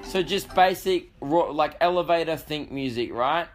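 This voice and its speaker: Australian male podcast host, young, croaky, sweary comic rambler. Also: a little high, normal speed, faint music behind. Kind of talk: casual comedic monologue.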